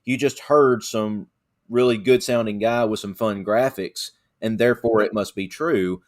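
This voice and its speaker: A man co-hosts a podcast discussion, giving his view in an earnest, conversational way. His voice sits fairly low. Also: unhurried. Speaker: podcast co-host.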